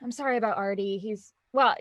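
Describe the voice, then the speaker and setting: An actress playing Felicity, a shy young actress who hesitates and apologizes. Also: female voice, medium pitch, a little fast, dry recording, close to an everyday voice.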